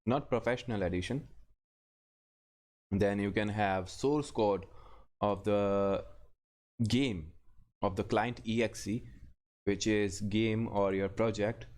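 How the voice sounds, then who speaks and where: A young man who is not a native English speaker, talking through a casual screen-recorded software tutorial in a halting, self-deprecating way, often restarting and chuckling. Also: on the low side.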